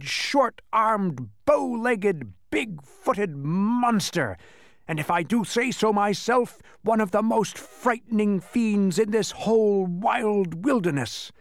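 A man voicing a small, furry, insincere demon from a storybook, with emphatic, drawn-out proclaiming. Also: high voice, dry recording, clean studio sound, pitched above the performer's own voice, full character voice. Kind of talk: storybook character voice.

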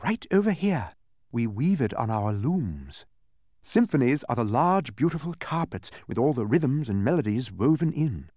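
A man's voice: mid-range voice, big pitch swings, normal speed, mellow, no echo, studio quality. A man voicing a stubborn, bossy, proud woman who keeps sounds in a storybook, speaking in an imperious, instructive way. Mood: bright, showing off. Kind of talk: storybook character voice.

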